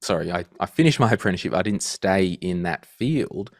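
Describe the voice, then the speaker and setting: Guy in his 30s, very low voice, lively storyteller, dry close sound. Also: a little fast, no room sound. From Australia. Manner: animated storyteller, acts out quotes. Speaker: guest financial podcaster. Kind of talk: casual interview chat.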